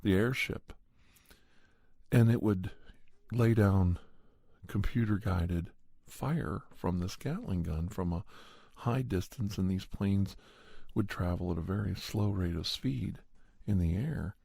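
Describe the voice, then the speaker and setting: Low, dark-voiced man as a slow storytelling doctor. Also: vocal fry, slightly rough, dry recording, exaggerated voice. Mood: measured, reflective. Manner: measured, halting, deliberate. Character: calm doctor recounting a monster hunt. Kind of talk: slow ASMR horror storytelling.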